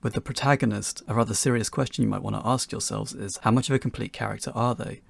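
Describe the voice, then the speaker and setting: A man's voice: on the low side, steady tone, fast talker, creaky, no echo. A man reading a scripted instructional talk for beginners as its presenter.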